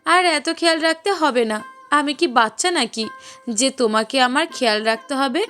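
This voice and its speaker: A woman's voice: sits high, moderate pace, fairly bright tone. A woman playing a loving, caring young wife in a cartoon, speaking in a sweet, coaxing, emotive way. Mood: coy, tender. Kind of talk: cartoon dialogue acting. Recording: voice over prominent music bed. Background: music.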